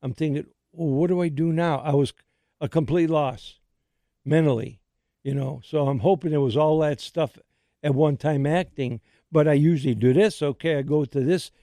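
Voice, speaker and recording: An older man hosting a radio show in casual chat, his voice slightly raspy, rambling with many restarts. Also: a little high, moderate pace, mellow, no room sound, clean studio sound. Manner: rambling, restarts often, laughs easily.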